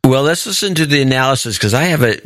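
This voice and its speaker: A man's voice: medium pitch, animated, fast talker, a bit bright, dry recording. A man hosting a talk show, thinking aloud in casual conversation with a skeptical, questioning manner.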